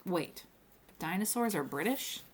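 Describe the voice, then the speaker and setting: A woman's voice: on the low side, expressive, measured pace, slight background noise. A woman voicing a wry, puzzled young male hero from a storybook, with a questioning manner.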